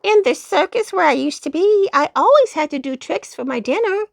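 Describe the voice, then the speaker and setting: A woman's voice: very high voice, lively intonation, normal speed, creaky, no echo, clean studio sound, pitched above the performer's own voice, heavily acted. A woman voicing a small, friendly male circus poodle with an eager, exclamatory manner in a children's story. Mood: chatty.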